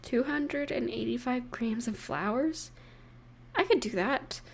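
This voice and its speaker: A woman voicing an affectionate, playful partner in an intimate roleplay audio, speaking gently and teasingly to the listener with pauses. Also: a little high, animated, creaky, slightly rough, exaggerated voice. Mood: teasing, fond.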